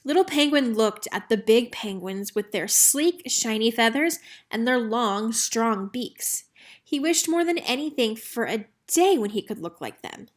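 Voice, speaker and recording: A woman narrating a children's story aloud in an expressive read-aloud style. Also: fairly high pitch, measured pace. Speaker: storybook reader.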